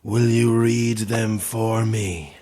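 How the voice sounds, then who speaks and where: A man playing an afflicted man slipping into eerie, obsessive possession in a horror audio drama, halting and fixated. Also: fairly low pitch, fairly even intonation, mellow. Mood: eerie, coaxing.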